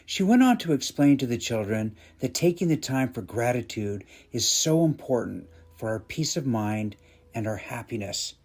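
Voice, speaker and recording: A man's voice: lowish voice, darkish tone. A man narrating a scripted short story as the storyteller.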